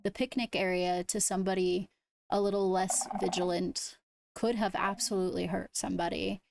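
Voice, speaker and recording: A woman speaking in improvised roleplay, leading a meeting in a measured, deliberative way. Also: medium pitch, level tone, creaky, background noise. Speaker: role-player leading a court meeting. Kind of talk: improvised in-character roleplay talk.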